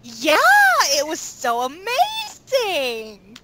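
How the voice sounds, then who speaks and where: A woman's voice: high-pitched, huge pitch swings, very slow, background noise. A woman chatting casually in a group interview as a voice actor. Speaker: voice actor and series co-creator. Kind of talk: casual chat.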